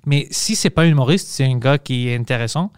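Podcast host, man, smooth and resonant voice, dry close mic, rambling restarts. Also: mid-range voice, brisk, no room sound. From Montreal, Quebec. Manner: loose and chatty, frequent restarts and English slips. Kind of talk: casual conversational chat.

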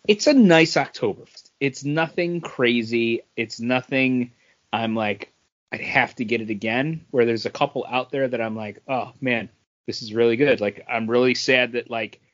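Male podcast co-host, medium pitch, relaxed informal chat, slightly dull lo-fi recording. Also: measured pace. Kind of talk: casual chat, informal beer review.

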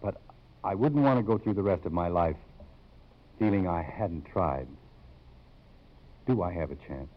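A man playing an earnest, gentle middle-aged professor in love, speaking sincerely and measuredly in a 1950s radio drama. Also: low voice, expressive, unhurried, long pauses. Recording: muffled old 1950s radio broadcast.

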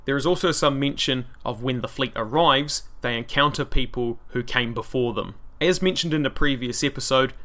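A man with a New Zealand accent narrates a scripted history podcast in a bright, forceful voice. He sits at a middle pitch for a man. Now and then he drops in dry, wry asides. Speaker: podcast host.

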